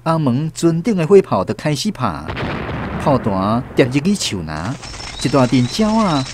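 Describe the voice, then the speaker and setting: A man's voice: mid-range voice, big pitch swings, normal speed, noisy background. A man with a Taiwanese accent narrating a radio drama in a dramatic storytelling style. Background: music.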